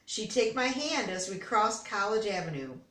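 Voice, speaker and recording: Older American woman, mid-pitched, picture-book read-aloud with chatty asides, recorded in a roomy space away from the mic. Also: expressive, moderate pace, fairly bright tone. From Detroit, United States. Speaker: storybook reader. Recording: roomy, echoey, distant microphone.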